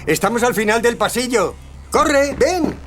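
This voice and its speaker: A man's voice: very high-pitched, animated, a little slow, exaggerated voice. An actor playing a sadistic, falsely sweet child killer who confides his crimes in a true-crime audio drama. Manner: chilling, hushed confiding that swings to sing-song coaxing. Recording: music under the voice.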